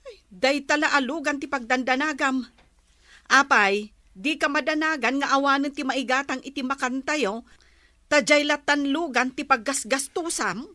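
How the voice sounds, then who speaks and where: An actress with an Ilocano Filipino accent playing an exasperated wife who nags about money in a comedy drama. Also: female voice, high voice, normal speed, sharp tone, no echo. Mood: indignant. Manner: complaining, exasperated. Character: nagging exasperated wife fretting over household spending.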